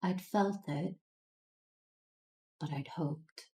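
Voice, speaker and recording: Woman as gentle jedi lady consoling family, slow and mellow, kinda sad. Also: low voice, exaggerated voice. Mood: sorrowful. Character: gentle, consoling female Jedi relative, sorrowful. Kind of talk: sci-fi audio drama acting.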